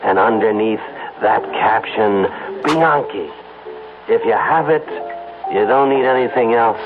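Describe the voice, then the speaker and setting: An American actor playing a smitten, excitable advertising man in an old-time radio drama, effusive and dreamy. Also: on the low side, unhurried, close to an everyday voice. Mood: rapturous. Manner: effusive, given to dreamy rhapsodies. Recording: muffled vintage radio broadcast, music behind.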